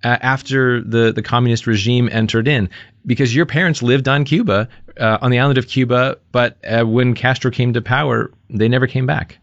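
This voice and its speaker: Male interview host, measured, filler-heavy, dry lo-fi audio. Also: medium pitch, steady tone, dry recording. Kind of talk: conversational interview. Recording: dry, slightly dull lo-fi audio.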